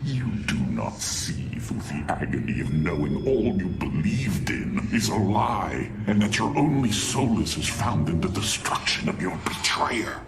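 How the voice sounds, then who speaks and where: A man playing a bitter, haughty alien warlord in a video game, with a gravelly voice and disdainful, menacing theatrical delivery. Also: deep voice, big pitch swings, unhurried, few pauses, exaggerated voice. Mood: bitter, vengeful. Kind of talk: dramatic video game acting. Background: music.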